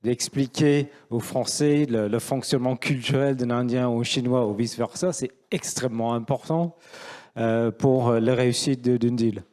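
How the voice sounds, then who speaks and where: A man's voice: medium pitch, fairly even intonation, normal speed, a little mellow. A middle-aged man from England with an English accent, a native English speaker, explaining his view as a panel speaker. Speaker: panel speaker, finance professional.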